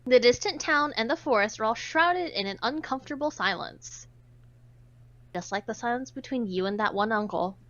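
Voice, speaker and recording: Playful higher-voiced woman, muffled recording. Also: expressive, moderate pace, fairly bright tone. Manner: playful, jokey asides. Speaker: streamer reading a visual novel aloud. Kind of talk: casual group chat mixed with read-aloud narration.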